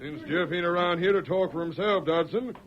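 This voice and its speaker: An actor playing a blunt, commanding Old West sheriff with an American accent and folksy cowboy grammar, in an old radio western. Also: male voice, high-pitched, moderate pace. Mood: firm. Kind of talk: 1940s radio western acting. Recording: muffled, hissy old radio recording.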